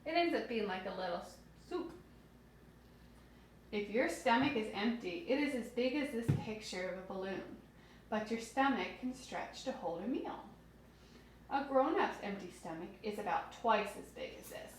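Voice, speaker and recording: A woman teacher reads aloud to young children in an expressive way. She explains simply and asks questions as she goes. Her voice sits at a middle pitch, recorded in a strongly echoing room with faint noise. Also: normal speed. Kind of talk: children's read-aloud lesson with asides.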